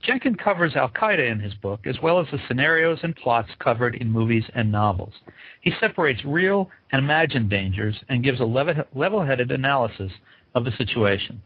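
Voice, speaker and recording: A male interview host with a husky, slightly rough voice at an ordinary pitch. He delivers introductions and questions in a close, dry sound. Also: lively intonation, brisk, no echo, phone-quality sound.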